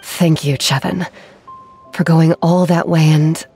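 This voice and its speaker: A caring, modest craftswoman in a video game. Her voice is fairly low, gentle and composed, over soft music. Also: fairly even intonation, takes it slowly.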